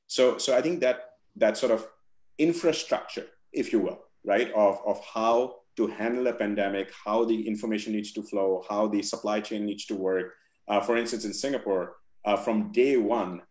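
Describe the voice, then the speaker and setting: Guest man from India, forties, low voice, roomy echo, halting and thoughtful. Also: darkish tone. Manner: thoughtful, many fillers and restarts. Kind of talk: video call interview. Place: home room with virtual backdrop. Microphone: video call.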